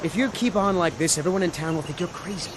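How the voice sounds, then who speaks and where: A man's voice: on the high side, fast talker, close to an everyday voice. A man voicing a weary, dismissive grown son of a village woman in a fantasy video game. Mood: exasperated. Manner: weary, scornful. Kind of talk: video game character acting. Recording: noisy outdoor game ambience.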